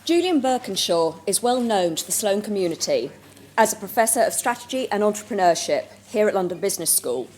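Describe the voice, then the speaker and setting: A woman hosting a conference, formally reading a speaker's introduction in a measured way. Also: medium pitch, big pitch swings, darkish tone. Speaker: event host introducing a speaker.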